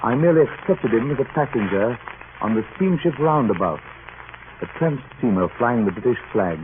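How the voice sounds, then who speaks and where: An actor playing a grave, weary sea captain in an old radio drama, with a measured, solemn delivery. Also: male voice, medium pitch, lively intonation, a crowd in the background, close to an everyday voice. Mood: solemn, reflective. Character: grave, weary male sea captain narrating his past. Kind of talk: 1940s radio drama acting. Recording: muffled, noisy old radio broadcast.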